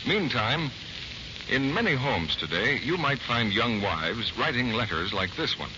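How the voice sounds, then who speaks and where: A man's voice: mid-range voice, very animated, a little slow. Male American radio announcer, very varied intonation, vintage 1940s delivery, hissy dull sound. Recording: noisy, muffled 1940s radio recording.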